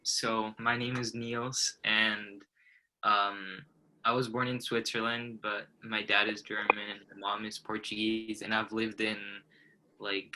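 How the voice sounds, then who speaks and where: A man chatting casually with friends, halting when introducing himself and emphatic when venting. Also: on the low side, slight background noise, low-quality recording. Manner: hesitant at first, then animated and emphatic. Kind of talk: casual chat among friends.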